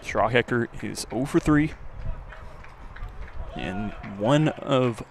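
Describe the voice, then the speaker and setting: A man giving live play-by-play baseball commentary for radio, his voice lifting on the action and settling between pitches. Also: takes it slowly. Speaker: play-by-play announcer. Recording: clear outdoor ambient noise. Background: outdoor ballpark ambience.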